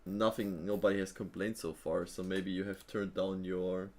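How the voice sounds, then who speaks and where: A man's voice: sits low, flat delivery, normal speed, darkish tone. A man hosting a live trading stream, chatting casually with viewers and thinking aloud with fillers and easy laughs.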